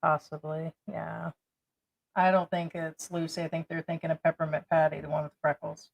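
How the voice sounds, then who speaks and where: A woman's voice: sits low, level tone, moderate pace, vocal fry, low-quality recording. Teasing woman co-hosting a livestream. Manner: teasing, chatty. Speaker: livestream co-host. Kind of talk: casual livestream chat.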